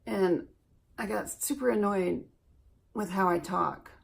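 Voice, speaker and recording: Gravelly, husky-voiced woman vlogger. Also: medium pitch. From Alaska, USA. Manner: slow-talking, chatty, self-deprecating. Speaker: beauty vlogger. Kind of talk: chatty makeup tutorial.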